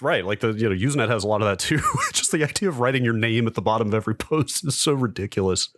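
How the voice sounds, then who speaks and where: A man's voice: fairly low pitch, lively intonation, fast, a bit bright, no room sound, studio quality. A middle-aged American man co-hosting a podcast in casual, conversational talk. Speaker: podcast co-host. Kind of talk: casual chat.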